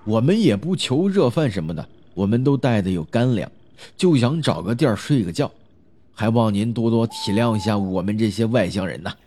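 A man playing a smooth-talking, deferential junior outlaw in an audio drama, apologetic and wheedling. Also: fairly low pitch, lively intonation, fairly quick, mellow, slight background noise, natural voice.

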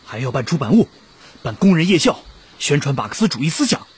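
A man's voice: a little high, sing-song, brisk, a little mellow, husky, heavily acted. An actor playing a firm, principled male communist delegate who debates assertively in a historical radio drama. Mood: firm, argumentative.

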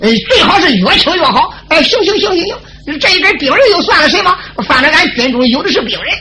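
A woman's voice: high-pitched, very animated, brisk, loud music behind the voice, pitched above the performer's own voice. A woman voicing a scornful, teasing male woodcutter, in a sung folk tale. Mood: bargaining. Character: gruff mocking male woodcutter, middle-aged.

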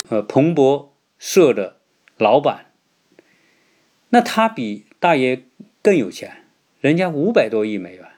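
A man with a medium-pitched voice talks through political commentary as a podcast host. His delivery is casual and conversational, built on rhetorical questions and little check-in tags. He leans hard on key words and lets asides trail off. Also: darkish tone.

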